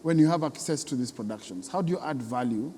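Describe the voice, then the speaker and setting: Guy hosting an interview, soft dark tone, chatty questions, bit of background noise. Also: medium pitch, expressive, fast. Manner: attentive, frequent short acknowledgements. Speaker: interview host. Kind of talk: conversational interviewing.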